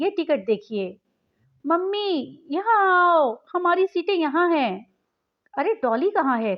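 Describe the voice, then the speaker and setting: A quarrelsome, flustered train passenger acted out by a woman storyteller calls out in a storybook scene. The voice is high and very animated, with an indignant, agitated manner. The recording sounds a bit dull. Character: flustered, quarrelsome train passengers squabbling over reserved berths. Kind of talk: storybook character dialogue.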